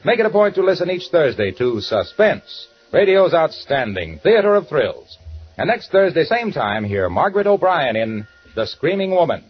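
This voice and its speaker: An upbeat male radio announcer from the 1940s with a slightly husky voice that rises and falls with a lot of life. He talks in an eager, salesy way, and the dull, dated recording has faint music underneath. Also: fairly high pitch, sing-song, moderate pace.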